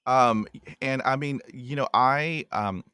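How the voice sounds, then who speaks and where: A man's voice: medium pitch, slow pace, pushed and bright, smooth, no room sound, studio quality. A man in his forties hosting a podcast interview, talking earnestly with a stutter and repeating himself for emphasis. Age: 40s. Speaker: podcast host.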